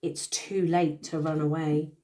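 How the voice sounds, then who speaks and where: A woman's voice: deep voice, level tone, measured pace, dark tone. A woman voicing an anxious gazelle in a read-aloud storybook. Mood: worried. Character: worried gazelle.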